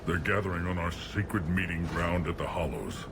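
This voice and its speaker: Very deep alien warlord. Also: male voice, moderate pace. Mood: proud, resolute. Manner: commanding, boastful. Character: gruff commanding alien clan warlord, proud and warlike. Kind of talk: video game cutscene acting. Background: music.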